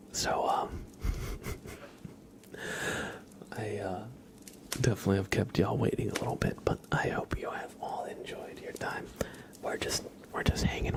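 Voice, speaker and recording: A man hosting an ASMR livestream, chatting softly and breathily to viewers, unhurried and often repeating words. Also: fairly low pitch. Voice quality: whispered, breathy soft voice. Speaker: livestream host.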